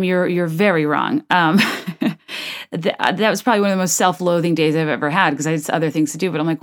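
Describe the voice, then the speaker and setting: A woman in her thirties hosting a solo podcast, chatting in a wry, rambling way with a low, soft late-night voice. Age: 30s. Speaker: podcast host. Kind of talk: casual solo podcast chat.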